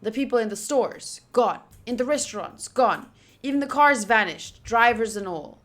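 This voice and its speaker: A woman streamer reading a visual novel's narration aloud during a playthrough, with expressive, gushing asides. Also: fairly high pitch, normal speed. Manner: expressive, gushing asides between read passages.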